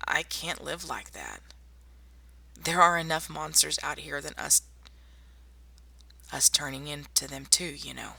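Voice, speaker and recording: Tough, wry young female zombie-apocalypse survivor, played by a woman, intimate ASMR roleplay, creaky delivery, close dry sound. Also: low-pitched, measured pace, long pauses, dry recording, heavily acted. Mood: probing, somber. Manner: soft-spoken, intimate, addressing one listener. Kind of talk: ASMR roleplay audio drama.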